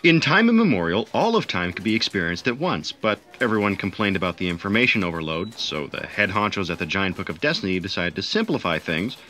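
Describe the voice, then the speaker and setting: Pompous, swooping cosmic narrator. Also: male voice, deep voice, very animated, brisk, hardly any pauses, pushed and bright, exaggerated voice. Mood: pompous, dry. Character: pompous, pedantic male co-narrator of a cosmic book. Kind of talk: comic sci-fi audio theatre narration.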